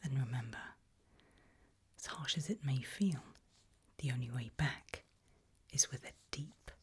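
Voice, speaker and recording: A woman speaking in a gentle, breathy, unhurried whisper, performing an ASMR roleplay as a calm, caring mortician. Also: a bass voice. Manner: gentle, unhurried, soothing murmur. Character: calm, caring mortician tending the soon-to-be reanimated dead.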